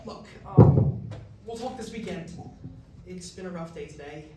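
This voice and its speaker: A woman's voice: on the low side, unhurried, over music, natural voice. A woman playing a weary, evasive male college student in a staged musical reading, casual and hesitant. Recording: very echoey hall, distant mic, noisy.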